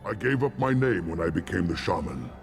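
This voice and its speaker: A man voicing a solemn, zealous alien warrior shaman in a video game, gravelly and ceremonial in his grand declarations. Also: sits low, big pitch swings, fairly quick, music in the background, heavily acted. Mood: zealous, grandiose. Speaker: clan shaman.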